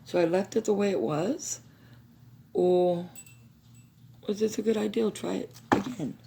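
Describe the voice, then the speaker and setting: Hoarse, gravelly-voiced woman chatting. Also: medium pitch, a little slow, mellow. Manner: chatty, addresses viewers as you guys. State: hoarse, recovering from lost voice. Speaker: craft video host. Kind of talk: casual how-to demo.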